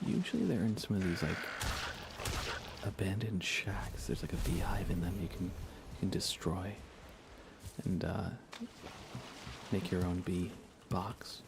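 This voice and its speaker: A man live streaming a game in a casual ASMR style, chatting softly with viewers in a hushed voice over background noise. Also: deep voice, a little slow, creaky, long pauses.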